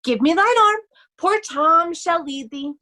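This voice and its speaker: A very high voice plays a young nobleman disguised as a mad beggar acted by a woman. The delivery is sorrowful and theatrical, moving between anguish and singsong madness in a Shakespearean play reading. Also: expressive, unhurried, bright, forceful tone, heavily acted. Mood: feigned madness. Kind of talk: remote readers' theatre Shakespeare performance.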